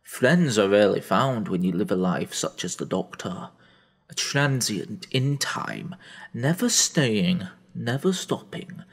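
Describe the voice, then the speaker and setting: A man narrating a dramatic audio story in a theatrical, brooding manner, with heavy pauses. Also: on the low side, lively intonation, creaky.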